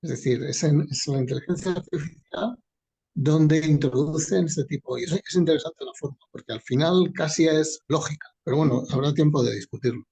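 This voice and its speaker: A man's voice: a little high, fairly quick, vocal fry, warm tone, breathy edge, low-quality recording. A man in the audience giving a casual, rambling comment during an academic discussion. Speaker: audience participant.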